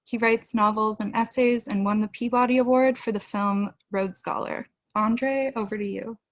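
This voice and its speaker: A young woman hosting an online event, reading a formal introduction in a measured way over a webcam video call from a room at home. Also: on the high side, fairly even intonation, dry recording. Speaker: event host. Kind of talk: read introduction.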